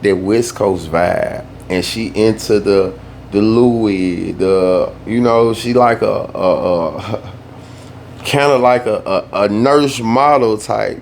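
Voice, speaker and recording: A young man from the Southern US telling a family story in a laid-back, slangy way that turns animated when he gets worked up. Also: lowish voice, vocal fry, a little hiss or hum. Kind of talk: casual storytime.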